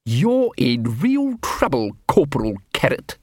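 A bullying, indignant captain of the watch, voiced by a male narrator snarls and hisses his contempt. His pitch climbs high and swings sharply, in a dry audiobook recording. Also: sing-song, normal speed, dry recording. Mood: snarling.

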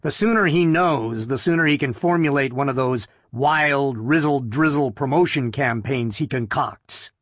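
A man's voice: mid-range voice, moderate pace, few pauses, a bit bright, no room sound, studio quality, close to an everyday voice. Man playing a blunt, wry technician. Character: gruff, cautious, wry male technician.

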